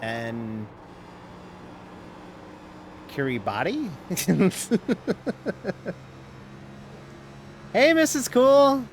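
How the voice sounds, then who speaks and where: A man's voice: high voice, huge pitch swings, slight background noise. A man streaming a sim race, chatting casually and rambling between driving calls, often restarting his sentences. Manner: rambling, self-interrupting, quick to laugh. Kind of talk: casual live-stream chat while racing.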